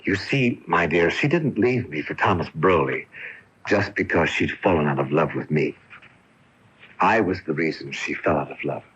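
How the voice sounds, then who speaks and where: A remorseful male ghost in an old radio drama. The voice is very deep and husky, swelling and falling dramatically as it confesses a violent, prideful nature. The broadcast sound is muffled. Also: lively intonation, normal speed, fairly bright tone, a little hiss or hum. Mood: confessing, ashamed. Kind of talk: 1980 radio drama acting. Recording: dull AM radio airing from 1980.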